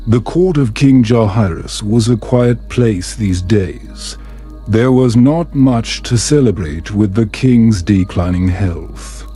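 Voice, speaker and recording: A man narrating a calm bedtime story in a measured, soothing storybook manner. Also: lowish voice.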